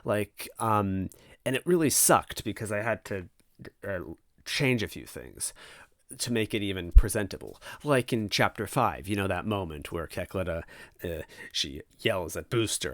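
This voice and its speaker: A man with a fairly low voice talks casually as the host of his own series. He rambles, hesitates and breaks off his own sentences, in a close, dry recording. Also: fairly bright tone, no room sound. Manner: hesitant, halting, self-interrupting. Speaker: host of a fan fiction reading series. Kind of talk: casual rambling preamble to listeners.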